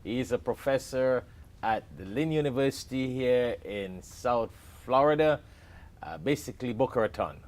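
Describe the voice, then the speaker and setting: A man's voice: mid-range voice, lively intonation, normal speed. Man, show host, mid-range voice, chatty, welcoming, studio. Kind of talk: hosted interview. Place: television studio.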